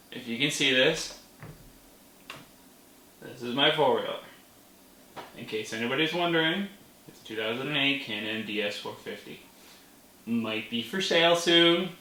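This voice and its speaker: A person telling a story in a casual vlog manner, animated, with playful exclamations. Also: medium pitch. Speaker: vlogger. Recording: roomy, distant mic with faint noise.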